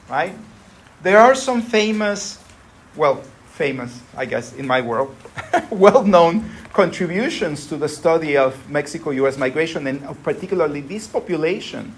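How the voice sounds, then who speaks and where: A man's voice: a little high, slight background noise. Lecturing man who keeps asking "right?". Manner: conversational, frequent tag "right?". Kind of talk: university lecture.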